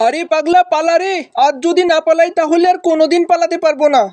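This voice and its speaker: A woman's voice: extremely high pitch, flat delivery, fast talker, heavy background noise. A woman voicing a bickering drunkard in a comic Bangla cartoon fan dub, exclamatory and prone to rhyme. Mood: excited scheming. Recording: music behind the voice. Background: music.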